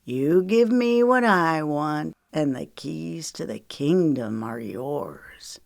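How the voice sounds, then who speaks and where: A woman voicing a smug, menacing man with a slow, self-assured drawl, in an audiobook. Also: low-pitched, lively intonation, vocal fry, no echo, clean studio sound, heavily acted. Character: smug, menacing predatory man with Southern folksy talk.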